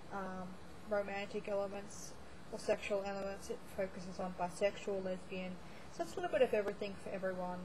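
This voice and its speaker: Woman, book vlogger, chatty and rambling, voice starting to give out, home recording with steady hiss. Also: medium pitch. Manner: chatty, rambling, lots of fillers and restarts. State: starting to lose her voice. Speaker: book blogger. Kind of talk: casual monthly reading wrap-up vlog.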